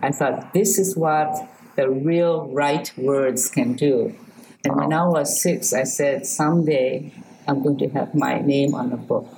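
Older Hawaiian poet, woman, low and slow, measured interview guest. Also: vocal fry, dark tone, slight background noise, low-quality recording. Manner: slow, measured, reflective storytelling. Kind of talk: poetry reading and interview answers.

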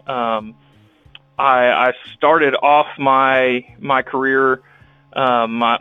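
A young man with a Texan accent, a clinic director telling his career story in a casual interview, halting with frequent restarts. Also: medium pitch, dry recording, phone-quality sound. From Texas. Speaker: guest, rural health clinic director.

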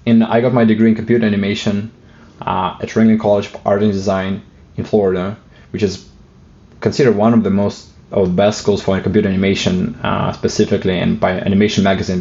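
A man's voice: on the low side, little pitch movement, fairly quick, mellow, highs cut off. A man with a Russian accent, a guest in a casual interview, speaking hesitantly with many fillers and restarts and some light joking.

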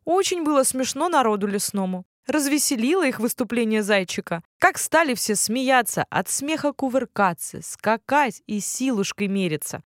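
Lady telling a kids bedtime story, highish voice, very animated, dry sound. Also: normal speed, few pauses, no room sound. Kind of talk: expressive children's bedtime fairy tale narration.

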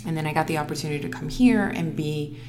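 A woman in her forties from Miami whose first language is Spanish, telling her story as a news anchor guest in a relaxed interview. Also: low-pitched, fast, a little hiss or hum. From Miami, Florida. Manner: reflective, storytelling, laughs easily. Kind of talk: casual interview conversation.

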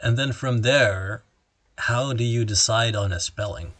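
A man's voice: mid-range voice, measured pace, fairly bright tone, dry recording. A man hosting a casual interview, asking playful, joking questions. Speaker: podcast host.

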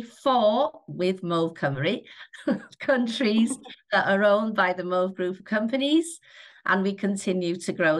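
Woman, middle-aged, steady businesslike podcast guest. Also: mid-range voice. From North Wales. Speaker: guest, company chief executive. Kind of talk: podcast conversation.

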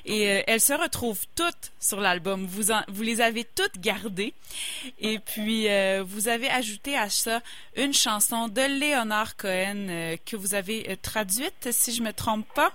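A woman's voice: mid-range voice, expressive, unhurried, bright, forceful tone, a little hiss or hum. A woman radio host who interviews a guest and sums up her story for listeners. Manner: attentive, summarizing the guest's story.

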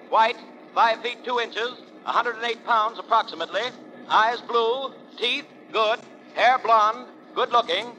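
An actor playing an urgent radio announcer reading a clipped, official 1930s news bulletin. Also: male voice, extremely high pitch, sharp tone, poor audio. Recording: vintage 1930s radio broadcast.

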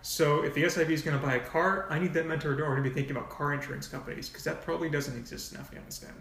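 A man's voice: a little high, fairly even intonation, very fast, a bit bright, distant mic. A man speaking frankly as a nonprofit volunteer coordinator in a casual podcast interview, explaining in long runs with asides. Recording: echoey room, off-mic.

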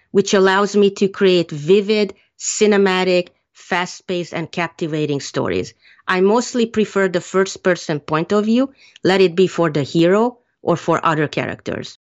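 A woman from Hungary with a fairly low voice answers interview questions in a measured, earnest way. The recording sounds muffled and lo-fi. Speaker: author being interviewed.

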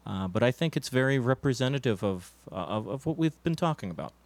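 A man playing a musician in a deadpan mock interview, halting and stammering, earnest but evasive. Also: mid-range voice, dry recording. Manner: hesitant and full of fillers. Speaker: interviewed musician in a comedy sketch.

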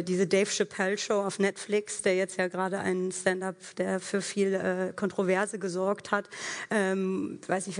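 A woman on a live discussion panel who searches for her words and fills pauses with many small hesitations. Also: medium pitch. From Germany. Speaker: panel guest, actress and filmmaker. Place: theatre stage with long reverb. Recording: reverberant hall.

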